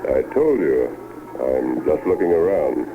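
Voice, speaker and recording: Deep-voiced masked cowboy hero. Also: male voice, expressive, over music. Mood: calm, commanding. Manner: measured, authoritative, clipped delivery. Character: masked cowboy lawman hero, calm, commanding, righteous. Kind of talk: 1950s radio western acting. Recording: muffled noisy old radio tape rip.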